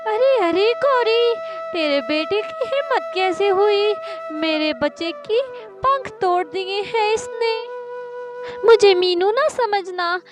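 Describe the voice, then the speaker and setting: A woman's voice: very high-pitched, slow, pitched above the performer's own voice. A woman voicing a fiery, fretful mother bird protecting her child in a children's cartoon. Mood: furious. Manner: fretful, indignant. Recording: music behind the voice.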